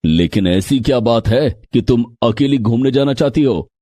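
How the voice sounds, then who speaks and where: Man playing young family man, husky, dark mellow tone, earnest storybook dialogue. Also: medium pitch, lively intonation, moderate pace, dry recording, clean studio sound, close to an everyday voice. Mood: questioning. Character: young husband and his brother, earnest family men.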